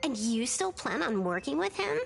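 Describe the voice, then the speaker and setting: A woman's voice: high-pitched, sing-song, moderate pace, vocal fry, slight background noise, heavily acted. A woman voicing a sassy, skeptical young hacker in a video game, casual and sarcastic. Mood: incredulous, annoyed.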